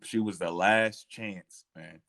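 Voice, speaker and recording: A man chatting informally about history, thinking aloud in a halting way as he works out details. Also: lowish voice, steady tone, vocal fry, bright, forceful tone. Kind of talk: casual history discussion.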